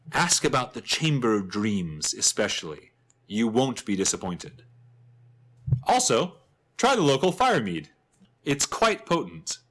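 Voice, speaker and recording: A man's voice: mid-range voice, a bit bright. A man playing a chatty, wryly humorous travel writer, narrating a fantasy guidebook with theatrical expression. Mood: wry, playful.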